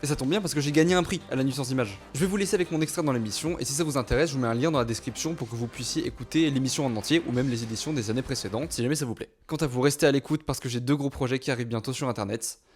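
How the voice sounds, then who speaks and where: A man presents a scripted vlog as its creator. He talks quickly at an ordinary male pitch, with light music behind him. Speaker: video creator, audio fiction author.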